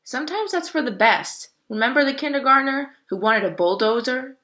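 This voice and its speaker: A woman's voice: high-pitched, expressive, moderate pace, bright, forceful tone, voice pushed up. A woman voicing a sassy, skeptical female crow in a read-aloud story, blunt and teasing in a casual way.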